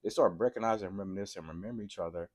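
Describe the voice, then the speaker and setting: Male TV reviewer, congested from a cold and sore throat, excitable chatty recap delivery, very low pitch. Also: racing. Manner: excitable, keeps asking "right?" to viewers. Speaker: TV episode reviewer. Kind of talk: casual TV recap vlog.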